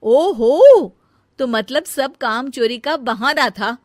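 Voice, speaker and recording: A woman voicing a dutiful, sensible elder daughter-in-law in a cartoon family drama, earnest, expressive and chiding. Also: sits high, takes it slowly, dry recording, studio quality. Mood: indignant, scolding.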